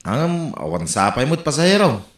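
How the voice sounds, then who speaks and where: Brief: Ilocano comic actor, gossipy and lively, echoey room with faint music. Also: medium pitch, moderate pace. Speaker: comedic actor. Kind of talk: Ilocano comedy sketch acting.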